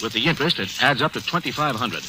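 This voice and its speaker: Actor as firm, demanding radio drama creditor. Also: male voice, mid-range voice, lively intonation, quick pace, lightly acted. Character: firm, demanding male creditor with a smooth front. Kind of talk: 1940s radio drama acting. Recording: noisy old radio recording.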